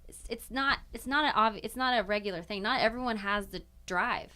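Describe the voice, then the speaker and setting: A woman's voice: a little high, brisk, bright, forceful tone. A young woman hosting a casual interview, encouraging and enthusiastic toward her guest. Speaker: podcast host.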